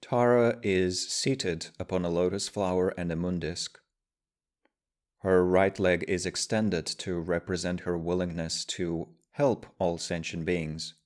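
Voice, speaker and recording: A man guiding a meditation as a teacher, speaking in measured, deliberate phrases with pauses. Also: deep voice. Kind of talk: guided meditation, slow spoken instruction.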